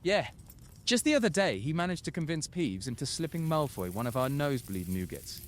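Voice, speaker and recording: Animated teenage prankster played by a man. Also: a little high, a little fast, a little hiss or hum. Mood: gleeful. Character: mischievous, boastful teenage prankster brother. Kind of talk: audio drama acting.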